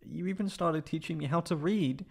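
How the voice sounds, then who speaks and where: A man playing a prickly young catboy in an ASMR roleplay, haughty but secretly soft-hearted and stammering when flustered. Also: fairly high pitch, moderate pace, creaky, breathy edge. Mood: hesitant, wistful.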